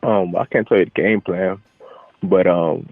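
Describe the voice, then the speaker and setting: A young man, a boxer, giving casual, halting interview answers with repeated phrase starts over a telephone. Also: low-pitched, fairly even intonation, vocal fry, no room sound, soft background music. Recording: narrow, tinny phone line.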